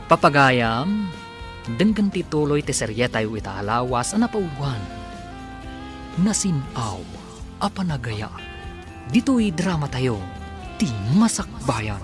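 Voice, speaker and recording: A male narrator of a radio soap delivers scripted announcements with dramatic phrasing. His voice sits at a middle pitch over faint music. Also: very animated, unhurried, pauses often.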